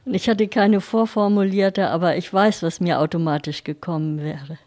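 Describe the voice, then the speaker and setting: A woman being interviewed about her spiritual life, telling a personal recollection in measured, deliberate phrases. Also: lowish voice, a little mellow. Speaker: interview guest, spiritual devotee.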